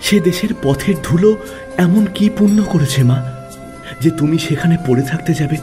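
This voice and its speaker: Animated male storyteller, fairly high voice. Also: moderate pace. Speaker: narrator. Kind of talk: dramatic literary story narration. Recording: music under the voice.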